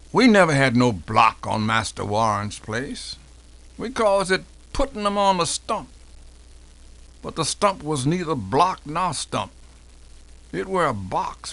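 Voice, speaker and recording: Casting: male reader as elderly formerly enslaved American man, slightly high voice, folksy dialect, first-person oral-history drama. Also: fairly bright tone. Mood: somber recollection. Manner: folksy, dialect-heavy storytelling. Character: elderly formerly enslaved Missouri man recalling his childhood. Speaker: professor doing a dramatic reading.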